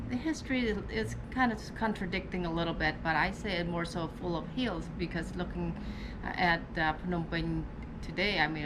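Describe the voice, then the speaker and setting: A woman from Cambodia with a Cambodian accent and Khmer as her first language, explaining things informally and a little haltingly as a travel vlogger. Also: on the low side. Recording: clearly noisy background.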